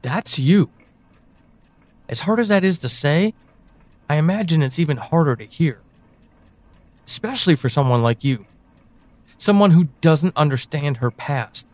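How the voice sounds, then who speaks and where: A man playing a brooding, knowing confidant in an audio drama monologue, speaking deliberately to one listener with pointed pauses. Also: fairly high pitch, lively intonation, darkish tone, no echo. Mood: somber, deliberate. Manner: deliberate, halting delivery with sighs and pointed pauses. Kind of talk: audio drama monologue, second-person address.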